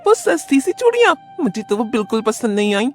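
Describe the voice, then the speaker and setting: A woman's voice: a little high, big pitch swings, a little fast, darkish tone, soft background music, voice pushed up. A woman voicing a vain, greedy young wife in a storybook cartoon, complaining and full of self-pity. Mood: whiny, demanding.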